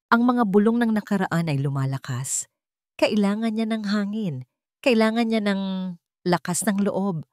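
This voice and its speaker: A woman narrating scripted dramatic fiction in a measured, suspenseful way, with deliberate pauses. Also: fairly low pitch, darkish tone, dry recording, clean studio sound. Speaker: story narrator.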